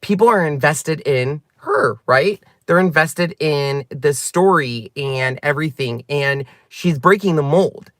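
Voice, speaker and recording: A man hosting a crafting channel, talking candidly and earnestly to his viewers from his car, his voice climbing higher when he gets emphatic. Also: fairly bright tone, no room sound, studio quality. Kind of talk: candid livestream-style chat to viewers. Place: sitting in a car.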